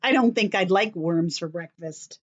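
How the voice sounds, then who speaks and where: An American woman reading aloud to young children like a teacher, expressive and sing-song, addressing them with questions. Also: on the low side, measured pace, dull audio. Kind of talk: children's story read-aloud.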